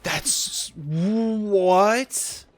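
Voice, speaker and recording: A man's voice: high-pitched, extremely slow. A man giving casual gaming reaction commentary as a video host, informal and exclamatory, thinking aloud as he goes. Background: background game music.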